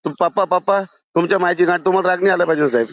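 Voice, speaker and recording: A man's voice: sits high, very fast, no room sound. A man on a recorded phone call, insistent and pleading, often interjecting. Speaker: caller to a politician. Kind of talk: phone conversation.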